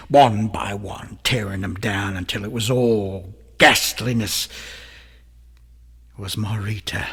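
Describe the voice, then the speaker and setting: An actor playing a guilt-ridden, drug-addled London murderer giving a halting, theatrical confession in a radio drama. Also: male voice, mid-range voice, a bit bright, husky, exaggerated voice. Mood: horrified, bitter.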